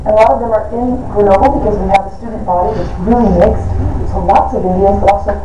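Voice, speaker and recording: A woman's voice: mid-range voice, fairly even intonation, quick pace, far from the mic, heavy background noise. A woman in her forties from Texas with a Texan accent, giving a chatty lecture to a class and asking them questions. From Texas, USA. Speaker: business school lecturer. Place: large classroom with projector. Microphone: far camera. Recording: echoey room from afar, muffled.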